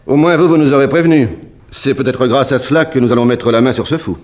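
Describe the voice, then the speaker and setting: An actor playing a brisk, methodical police commissioner in a vintage French radio drama, speaking in an official manner. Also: male voice, mid-range voice, a little mellow, soft background music, lightly acted. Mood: businesslike.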